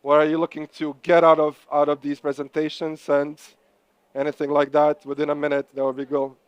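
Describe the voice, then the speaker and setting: A man with a Croatian accent hosting a live startup pitching event, upbeat and encouraging. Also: completely flat delivery, fairly quick, smooth, no room sound. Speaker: host.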